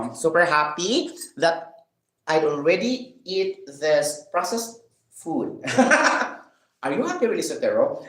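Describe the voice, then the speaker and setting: A man's voice: fairly high pitch, echoey room, low-quality recording. A man chatting casually in a vlog while he eats, rambling with fillers, asking himself questions and laughing. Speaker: vlogger.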